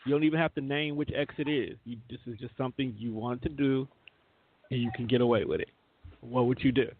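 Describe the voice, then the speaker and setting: A man's voice: medium pitch, brisk, husky. A man with an American accent, chatting casually and slangily as a teasing radio show host. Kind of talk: casual chat. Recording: narrow phone-like line, dry.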